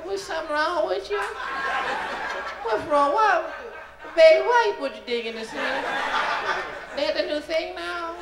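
Guy putting on a fussy old grandma, slow and repetitive, live comedy show with echo and a loud crowd. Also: very high-pitched, voice pushed up. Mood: bewildered scolding. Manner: repetitive, querulous questioning. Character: cold, fussy, bewildered, scolding old grandmother. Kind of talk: stand-up character routine. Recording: echoey live stage, loud crowd, noisy.

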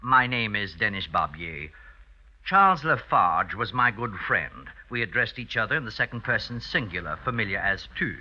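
A man's voice: fairly low pitch, very animated, normal speed, bright, forceful tone, dull audio, full character voice. An actor in an old-time radio drama playing a scheming, falsely mournful male accuser, melodramatic and insinuating in manner. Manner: melodramatic, insinuating, feigned reluctance.

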